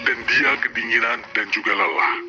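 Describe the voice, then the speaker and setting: A man's voice: fairly low pitch, fairly even intonation, normal speed, dull audio, heavy background noise. A man narrating a scripted fairy tale as a dramatic storyteller. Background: loud music.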